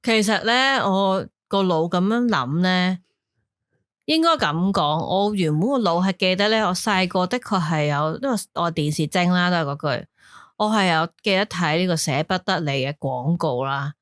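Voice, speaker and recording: A woman co-hosting a podcast in Hong Kong Cantonese, telling stories in a lively, chatty way. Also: fairly low pitch, no room sound, clean studio sound. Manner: animated storyteller, quick asides. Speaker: podcast co-host. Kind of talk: casual chat.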